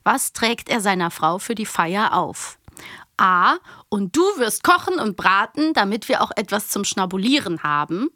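A woman's voice: mid-range voice, animated, moderate pace, sharp tone, dry recording, studio quality. A woman co-hosting a podcast, chatting casually and reading out quiz questions. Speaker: podcast co-host.

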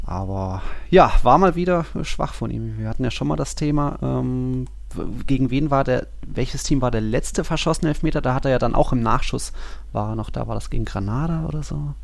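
A man's voice: mid-range voice, fairly quick, vocal fry. A man co-hosting a football podcast, chatting in a casual, laid-back, colloquial way.

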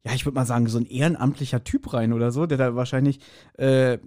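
A man's voice: medium pitch, steady tone, fast talker, a little mellow. A man chatting casually as a podcast co-host, recounting things in a joking way and often chuckling. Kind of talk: casual chat, recounting and discussing.

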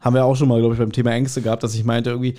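Male podcast co-host, German accent, middle pitch, casual banter with a teasing, mock-scolding edge. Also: level tone, very fast, warm tone.

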